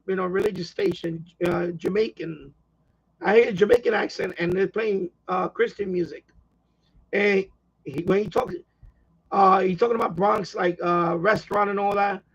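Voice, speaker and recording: A man's voice: high voice, fairly bright tone, breathy edge, lo-fi sound. A man hosting a hobby live stream, chatting casually in a rambling way and often repeating himself.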